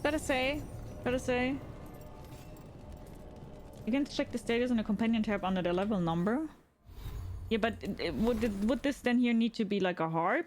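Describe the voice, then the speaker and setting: A woman talks casually to her stream while she plays, asking viewers questions and reading in-game text out loud. Her voice sits a bit deep for her, and soft game music runs underneath. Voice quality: says her voice is fairly deep. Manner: chatty, reads out game text, asks chat questions. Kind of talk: casual gaming chat with viewers.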